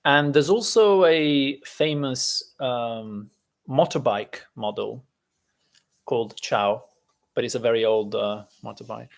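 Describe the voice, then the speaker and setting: A man who is a native Italian speaker, hosting a casual live-stream lesson, talking in a chatty, halting way with many fillers. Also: medium pitch, creaky.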